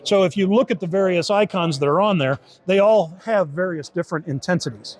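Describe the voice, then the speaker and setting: A man's voice: sits high, fairly quick. A man giving an informal, step-by-step demo and explanation of how something works. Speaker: beta test supervisor giving a product demo.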